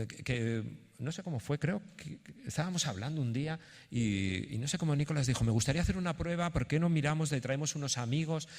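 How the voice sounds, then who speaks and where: A man giving an informal conference presentation in a halting, explanatory way, as if thinking aloud. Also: lowish voice, darkish tone. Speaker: theatre institution representative presenting a project. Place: conference hall. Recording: echoey hall with long reverb.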